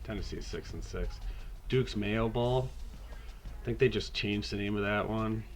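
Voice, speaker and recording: A man hosting a podcast, talking casually through a video game season in a raspy voice, hoarse from a cold, laid-back and rambling with the odd swear. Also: on the low side, normal speed, faint music behind. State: hoarse, getting over a cold. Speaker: podcast host.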